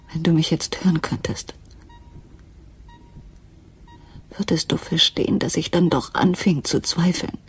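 A woman's voice: on the low side, a little mellow, slightly rough. An actress playing an earnest, insistent, troubled wife and mother in a German radio crime play. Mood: anguished doubt. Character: distraught, doubting wife of a jazz musician, a mother.

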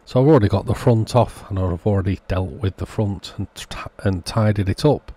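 A man with a very deep, husky voice and a soft, dark tone talks through a hands-on repair. He keeps it understated and matter-of-fact, explaining each step as a channel host would. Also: a little fast. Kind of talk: hands-on repair walkthrough narration.

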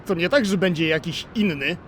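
A man's voice: high-pitched, brisk, a little hiss or hum, natural voice. A man playing a nervous, flustered henchman guard who stammers and repeats himself in a comedic dub. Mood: alarmed. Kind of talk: comedic dubbed dialogue.

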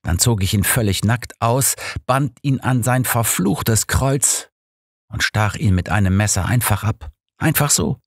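A man voicing an embittered woman who makes a soft, measured confession of murder in an audiobook. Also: mid-range voice, animated, breathy edge, no room sound, studio quality. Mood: grim, confessional.

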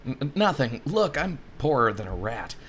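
A man's voice: mid-range voice, big pitch swings, normal speed, a bit bright, slight background noise. A man voicing an earnest, cheeky young male stowaway hero in an adventure game. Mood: protesting.

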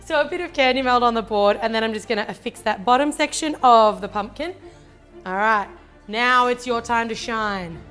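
A woman's voice: a little high, moderate pace, a bit bright, soft background music. A woman in her thirties with an Australian accent, hosting a cooking demonstration in a home kitchen and chatting through each step. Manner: chatty, upbeat step-by-step instructions. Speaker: baking channel host.

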